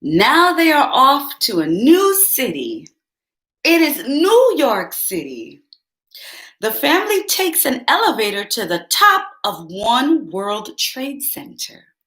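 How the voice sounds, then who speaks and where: Slow, lively woman reading to kids. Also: high-pitched. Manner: speaks directly to young listeners, asks them questions. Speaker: children's book reader. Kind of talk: reading a picture book aloud to children. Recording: roomy echo.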